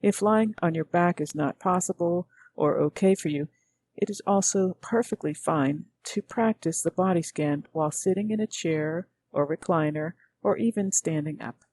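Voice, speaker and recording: A woman guiding a meditation as a teacher, speaking gently in measured, unhurried phrases with pauses. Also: fairly low pitch, slight background noise. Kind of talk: guided meditation narration.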